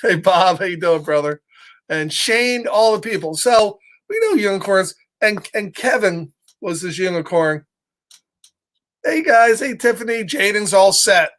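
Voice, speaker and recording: A man's voice: sits high, measured pace. Playful man reading to children. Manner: animated, playful asides, greets listeners by name, asks questions. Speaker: storybook read-aloud host. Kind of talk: live children's story time, chatting with viewers between lines of the book.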